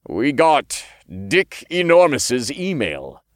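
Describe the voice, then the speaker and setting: A smug male archangel acted by a man speaks with formal, measured condescension. His stern negotiator's voice has a wide melodic range, recorded close and dry for a fantasy audiobook. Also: on the high side, wildly swooping pitch, no echo, voice pushed up, heavily acted.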